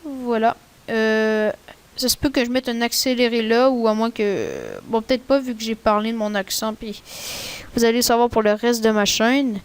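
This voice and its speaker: A woman with a Québécois French accent, rambling casually through a screen-recorded software tutorial in a chatty, slangy way. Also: a little high, fairly even intonation, normal speed, clean tone. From Quebec. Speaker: tutorial maker.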